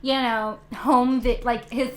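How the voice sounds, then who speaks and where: A woman's voice: a little high, flat delivery, takes it slowly, fairly bright tone. Sarcastic woman podcast co-host. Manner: incredulous, sarcastic reactions. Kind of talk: casual chat.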